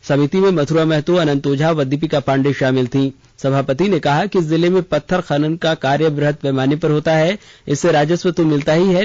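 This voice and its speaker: High-pitched male radio newsreader, dull sound. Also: darkish tone, no room sound. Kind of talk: scripted news bulletin reading.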